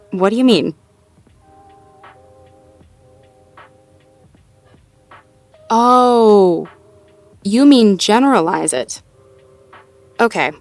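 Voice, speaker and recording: A woman's voice: medium pitch, close to an everyday voice. A woman playing a sweet, self-doubting, playfully wry girlfriend in an intimate audio roleplay, talking casually and conversationally with a partner. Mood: amused, thoughtful. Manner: conversational, casual, with sighs and soft chuckles. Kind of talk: intimate slice-of-life audio roleplay acting, one-sided conversation.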